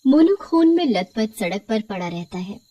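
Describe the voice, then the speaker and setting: A woman's voice: fairly high pitch, very animated, moderate pace, warm tone, highs cut off, a little hiss or hum. A woman reading scripted horror story narration as the storyteller.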